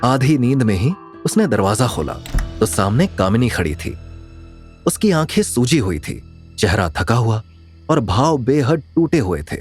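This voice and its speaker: A man's voice: mid-range voice, sing-song, a little slow, a little mellow, slightly rough, faint music behind. A man narrating a scripted audio drama in a dramatic storytelling style.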